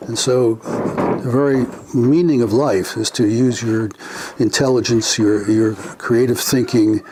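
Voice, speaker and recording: An older man being interviewed about his family, speaking in a reflective, unhurried way with frequent pauses and filler words. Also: mid-range voice, fairly even intonation, background noise. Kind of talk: family oral history interview.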